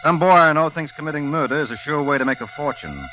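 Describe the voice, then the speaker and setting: An actor playing a suave, flirtatious, wry private detective in a 1950s radio crime drama. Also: male voice, on the high side, quick pace, close to an everyday voice. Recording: muffled 1950s radio recording. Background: loud music.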